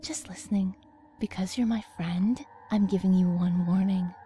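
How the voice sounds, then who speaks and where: A woman playing a possessive, coldly threatening young swordswoman in an anime parody dub, controlled and often hushed. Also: medium pitch, steady tone, moderate pace, slight background noise, natural voice. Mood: cold menace.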